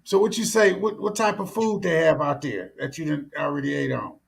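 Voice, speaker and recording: Middle aged american dude, gravelly, a bit high, slangy host just chatting. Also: male voice, a little fast. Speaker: talk show host. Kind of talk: casual chat. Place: small room indoors.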